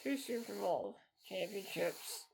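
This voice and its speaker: A man's voice: high-pitched, slow pace, creaky, a little hiss or hum, poor audio. A man in his thirties hosting a solo podcast, talking in an earnest, repetitive way and circling back to the same phrases. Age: 30s. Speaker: podcast host.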